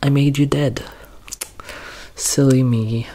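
A soft-spoken young man in an ASMR roleplay as a quirky, deadpan doctor, hesitant and halting, sometimes drifting toward a whisper. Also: mid-range voice, slight background noise. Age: young adult. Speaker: ASMR performer playing a bumbling doctor. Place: small curtained room at home, indoors.

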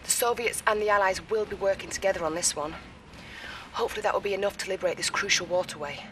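An actress as a young lieutenant, noisy mix. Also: female voice, mid-range voice, fast talker, lightly acted. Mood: earnest. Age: young adult. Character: poised young female military lieutenant briefing a commander. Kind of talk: mission briefing.

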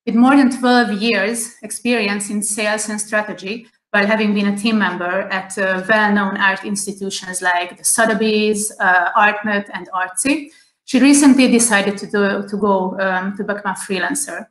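A woman's voice: mid-range voice, fairly even intonation, moderate pace, fairly bright tone. A woman, hosting an online interview over a video call and speaking carefully with many fillers and restarts. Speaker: host. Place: home room, plain wall. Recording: echoey room on a video call.

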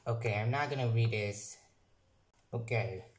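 A young man who is not a native English speaker, casually reviewing software on his computer and reading text aloud haltingly, restarting and correcting words. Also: mid-range voice, roomy sound, a little hiss or hum. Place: room at a computer.

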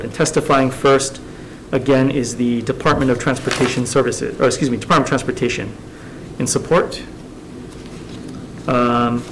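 A man runs a committee hearing in a measured, businesslike way. His voice, at an ordinary pitch, comes through a desk microphone in a government hearing room. A faint hum sits underneath. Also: poor audio. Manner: measured, businesslike, reading out agenda items. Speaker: committee chair. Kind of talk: formal hearing procedure.

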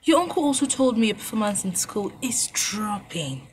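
A woman's voice: medium pitch, very animated, moderate pace, lightly acted. Actress as anxious mother, Nigerian accent, mid-range voice, caring, questioning, faint background noise, radio drama. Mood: pleading. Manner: anxious, probing, maternal. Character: worried, caring mother questioning her son.

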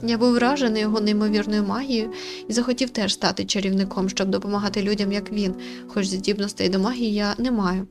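A woman's voice: mid-range voice, steady tone, fast, music in the background, natural voice. A woman voicing an earnest young male villager in a visual novel, solemn and old-fashioned in his wording.

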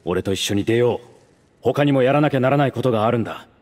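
A man voicing a steady, commanding mercenary in an anime game, firm and direct in informal speech. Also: medium pitch, fast talker, slight background noise, lightly acted. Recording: roomy reverb, faint music behind.

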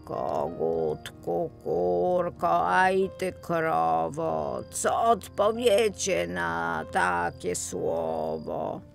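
Casting: mysterious storybook old man, acted by a woman, hoarse, creaky, very slow and solemn. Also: on the low side, big pitch swings, faint music behind, exaggerated voice.

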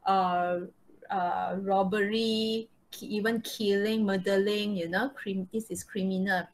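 A woman with a Malaysian accent teaching a live online English lesson, explaining step by step and checking understanding. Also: mid-range voice. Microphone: video call microphone. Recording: noisy online video call.

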